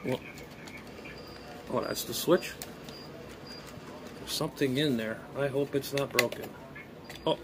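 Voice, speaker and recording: A man's voice: mid-range voice, slow, long pauses. A middle-aged man talking casually and rambling, muttering to himself as he thinks aloud in an unboxing vlog, recorded on a phone in a small room. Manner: rambling, muttering to himself while handling items. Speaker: amateur radio hobbyist and vlogger. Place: small bedroom, indoors. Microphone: phone propped at arm's length. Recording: clear background noise, room ambience.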